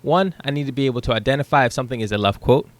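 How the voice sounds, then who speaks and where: Young male coding tutor, easygoing screen-share explainer, mid-pitched voice, dry close headset sound. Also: racing, dry recording. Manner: relaxed, thinking aloud with ums and rights. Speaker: programming tutor. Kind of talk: casual screen-share code walkthrough. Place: small room at home.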